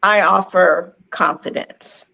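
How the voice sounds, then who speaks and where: A woman's voice: fairly low pitch, steady tone, gravelly, bright, forceful tone, rough recording. A woman in her fifties with a New York accent, a boutique owner speaking earnestly over a video call, repeating affirmations as she makes her case. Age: 50s. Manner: slow, earnest, affirming repetition. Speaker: resale boutique owner, award finalist. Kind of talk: virtual meet and greet interview.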